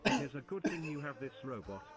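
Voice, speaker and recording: A man's voice: medium pitch, sing-song, fast talker. A man reading second-person text adventure narration aloud as a story narrator.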